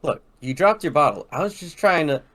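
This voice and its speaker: A man's voice: fairly high pitch, animated, a little fast, fairly bright tone. A man playing a worried, defensive young man in an amateur script reading.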